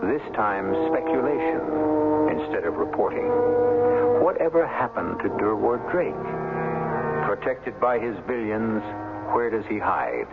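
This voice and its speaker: A man hosting and narrating a 1970s radio mystery with portentous, dramatic delivery and deliberate pauses, on a muffled old recording. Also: mid-range voice. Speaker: host and narrator.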